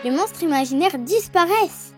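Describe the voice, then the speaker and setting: A woman's voice: very high-pitched, expressive, normal speed, faint music behind. A woman voicing a practical, encouraging little girl in a French children's cartoon, with a childlike voice.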